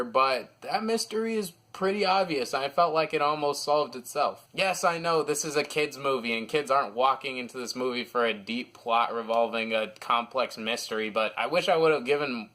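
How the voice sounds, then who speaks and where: A man's voice: fairly high pitch, a bit bright. A man hosting a casual, partly scripted movie review, talking informally and with plenty of opinion and slang.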